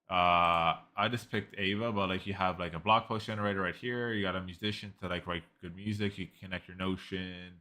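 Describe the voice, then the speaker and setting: A man talks through a software review in a loose, rambling way, piling up "like" and restarting his sentences. His voice sits low but comes across bright and forceful. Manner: rambling, heavy use of "like", frequent restarts. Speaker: tech reviewer. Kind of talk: casual screen-recorded software review.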